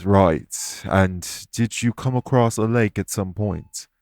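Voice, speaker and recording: Man voicing a low-voiced, courteous elf, noisy recording. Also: darkish tone. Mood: measured, explanatory. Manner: formal, measured, reassuring. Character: calm, courteous winged male elf, guilty and patient. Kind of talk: immersive audio roleplay, addressing the listener.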